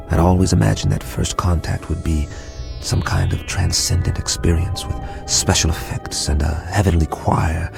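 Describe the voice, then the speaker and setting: A gravelly, wry narrator. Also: male voice, deep voice. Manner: wry, reflective storytelling. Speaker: narrator and character, Mr. Chambers. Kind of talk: radio drama narration.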